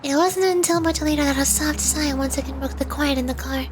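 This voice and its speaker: High-voiced solemn game narrator, read by a woman. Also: brisk, noisy background, natural voice. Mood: solemn, dramatic. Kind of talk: scripted narration.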